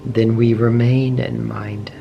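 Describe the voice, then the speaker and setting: A man leading a guided meditation in a gentle, measured way, pausing often between short phrases. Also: medium pitch, level tone, gravelly, highs cut off. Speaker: meditation teacher. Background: soft meditation music.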